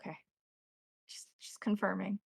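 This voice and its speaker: A woman narrating a tabletop roleplaying game as game master, setting scenes in a descriptive, measured way. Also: medium pitch, flat delivery, creaky, breathy edge, dry recording, clean studio sound.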